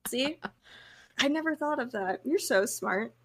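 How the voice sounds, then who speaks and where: Woman, podcast co-host, casual banter, slightly high pitch, slow pace. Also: animated, noisy background.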